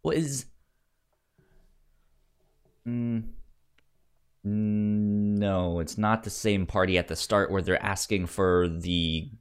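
A man co-hosting a casual film discussion, speaking haltingly and repeating words as he searches for his phrasing. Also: lowish voice, smooth. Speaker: podcast co-host discussing a film.

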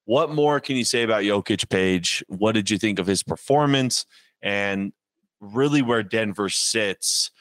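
A man with a fairly low voice co-hosting a sports chat, talking casually with a joking, animated streak. The sound is dry and close, with no room around it. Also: unhurried, dry recording. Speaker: podcast co-host.

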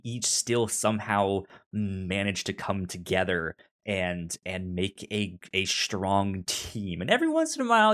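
A man co-hosting a podcast, casually discussing a movie in a halting way, often stuttering and repeating words and syllables. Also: lowish voice, a bit bright. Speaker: podcast co-host.

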